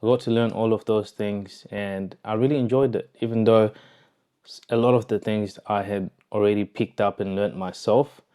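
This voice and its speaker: A young Zimbabwean man talks casually to the camera about his own life. His voice is on the low side and stays quite level, with a smooth, resonant sound. He comes across as reflective and conversational. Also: steady tone, moderate pace, mellow. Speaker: vlogger, online entrepreneur. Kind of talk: casual personal storytelling to camera.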